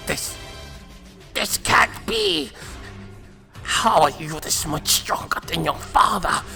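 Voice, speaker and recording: A man's voice: mid-range voice, creaky, bright, forceful tone, exaggerated voice. A man playing a sadistic alien villain in an anime fan drama, taunting and theatrical with menace. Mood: shaken, threatening. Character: sadistic alien villain with vengeful grudge, possessing a father's body. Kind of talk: anime fan-fiction voice acting.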